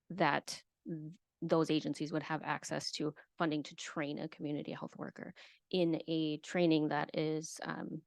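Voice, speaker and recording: A woman who works as an extension educator, explaining a program to a county committee in a hesitant way, with many filler pauses. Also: deep voice, gravelly, dry recording, studio quality. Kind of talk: committee meeting presentation.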